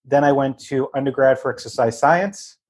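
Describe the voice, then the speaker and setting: Guest: relaxed man, mild Boston accent, chatty investor, mid-pitched, quick to laugh. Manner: laughs often, easygoing. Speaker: podcast guest, real estate investor. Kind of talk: casual interview chat.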